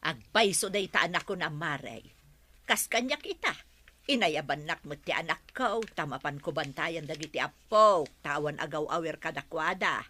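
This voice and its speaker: A woman's voice: low voice, animated, normal speed, pushed and bright, full character voice. A woman with an Ilocano accent playing a chatty, inquisitive older village neighbor in a radio drama.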